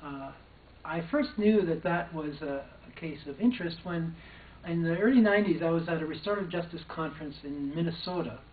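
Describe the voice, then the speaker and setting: A man's voice: on the high side, brisk, slight background noise. An older man, a former probation officer, recounting a personal story in a hesitant way with frequent restarts. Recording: distant, echoey, phone-like sound.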